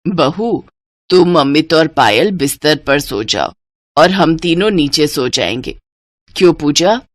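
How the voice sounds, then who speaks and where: A woman's voice: low voice, expressive, slow, dry recording, pitched below the performer's own voice. A woman voicing a practical, motherly middle-aged mother-in-law from a poor household in a cartoon moral story. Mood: instructing.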